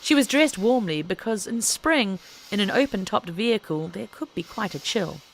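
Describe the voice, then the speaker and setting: A woman reading a scripted story as an audiobook narrator, in an expressive storytelling style. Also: medium pitch, normal speed, a little hiss or hum, low-quality recording.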